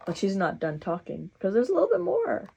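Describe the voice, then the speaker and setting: Animated woman reading a children's storybook. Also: medium pitch, fairly quick, gravelly, a little hiss or hum. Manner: animated, dramatic, cheering reader. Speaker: picture book read-aloud host. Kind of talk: expressive children's storybook reading with excited asides.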